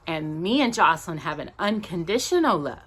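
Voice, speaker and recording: A woman hosting a children's storytime, reading a picture book with playful, drawn-out emphasis and chatty asides to a companion. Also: lowish voice, big pitch swings, a bit bright, breathy edge. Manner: addresses a companion directly while reading.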